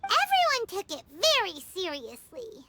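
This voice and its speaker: Tiny childlike female fairy, extremely high squeak, very animated, excitable, game voice. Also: measured pace, very noisy, full character voice. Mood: amazed. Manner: squeaky, indignant, exclaiming. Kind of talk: video game voice acting. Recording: loud music under the voice.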